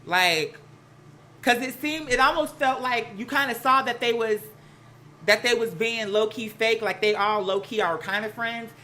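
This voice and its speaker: A live stream host with an American accent chatting casually and gossiping, sassy and animated, slangy and rambling from one aside to the next. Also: mid-range voice, measured pace, slight background noise. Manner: sassy, slangy, peppered with "girl" and "honey". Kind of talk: casual live chat to viewers, gossipy commentary.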